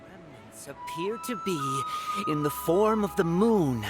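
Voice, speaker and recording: An actor playing a gentle, absent-minded novice fortune-teller in a video game, speaking in a halting, pondering manner. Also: medium pitch, animated, music in the background. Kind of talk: video game character acting.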